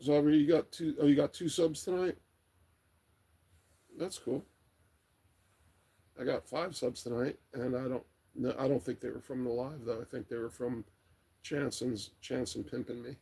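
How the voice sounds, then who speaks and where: A middle-aged man hosts a casual late-night livestream, reading comments and rambling along at his ease. His voice is heavily creaky and rough, with a worn, tired rasp. The tone stays mellow and dark, relaxed and unhurried throughout. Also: mid-range voice. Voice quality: raspy, gravelly. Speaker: livestream host. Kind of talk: casual chat, reading viewer comments aloud.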